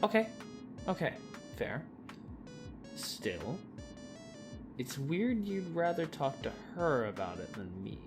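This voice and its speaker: Woman playing an eager, low-voiced boyish young man. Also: sing-song, slow, long pauses, heavily acted. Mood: awkward, insecure. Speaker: voice actor in an audio drama. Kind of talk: audio drama acting. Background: clear music.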